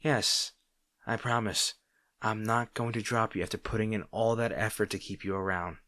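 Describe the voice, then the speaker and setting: A low-pitched male supervillain rival acted by a man in an intimate audio roleplay. He is sarcastic and exasperated, yet softly protective, with halting delivery. The sound is dry and close. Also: steady tone, no room sound. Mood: exasperated, insistent. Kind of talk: intimate audio roleplay acting addressed to the listener.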